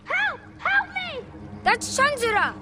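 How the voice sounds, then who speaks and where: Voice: very high voice, very animated, takes it slowly, heavy background noise, exaggerated voice. A performer playing a frightened, eager young boy, a warrior's son, pleading anxiously in a game. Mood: scared. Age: child. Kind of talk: cinematic game voice acting. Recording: distant echoing scene, loud music.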